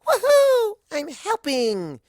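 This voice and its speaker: A narrator voicing a bubbly, excitable young female cartoon pony who gushes and rambles. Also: very high-pitched, takes it slowly, no room sound, clean studio sound, pitched above the performer's own voice, full character voice. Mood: eager. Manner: gushing, exclaiming, rambling.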